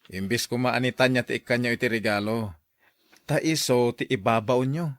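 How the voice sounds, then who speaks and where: A man's voice: mid-range voice, moderate pace, fairly bright tone, no echo, close to an everyday voice. Man playing earnest Ilocano son, close dry sound. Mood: reproachful. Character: frank adult son reproaching his aging mother. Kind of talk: Ilocano radio drama acting.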